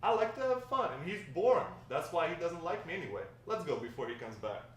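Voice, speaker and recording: A man's voice: on the high side, lively intonation, fast talker, fairly bright tone. Man playing brash grandpa in an echoey room. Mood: spirited, defiant. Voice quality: slangy, swaggering talk. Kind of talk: amateur screenplay table read. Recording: echoey room, distant mic, faint noise.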